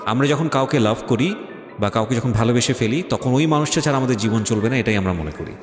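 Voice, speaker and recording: Fast-talking Bangladeshi male host, echoey. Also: medium pitch, expressive. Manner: emphatic, insistent, argumentative, code-switches into English. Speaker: radio show host. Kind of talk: talk show interview, advising. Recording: echoey hall reverb, faint music. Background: faint background music.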